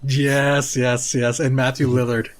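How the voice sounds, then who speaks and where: Male podcast panelist, mid-range voice, relaxed conversational delivery in a group film chat. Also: mid-range voice, a little slow. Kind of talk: casual panel chat about films.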